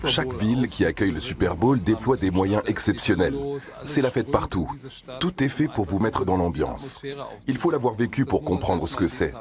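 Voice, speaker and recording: A man's voice: fairly low pitch, expressive, normal speed, a crowd in the background. A man giving enthusiastic, explanatory interview testimony. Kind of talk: interview testimony, dubbed voice-over.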